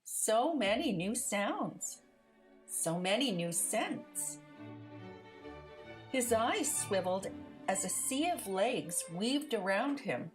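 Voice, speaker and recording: Animated, unhurried woman reading a picture book. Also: medium pitch, soft background music. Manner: deliberate, animated storytelling. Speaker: read-aloud storyteller. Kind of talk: expressive children's picture book narration.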